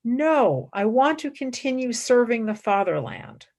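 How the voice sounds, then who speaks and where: Woman acting slow, high-pitched earnest young nurse. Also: big pitch swings, darkish tone, full character voice. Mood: firm, earnest. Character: grieving, earnest, principled young woman philosopher serving as wartime nurse. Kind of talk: dramatic radio play acting.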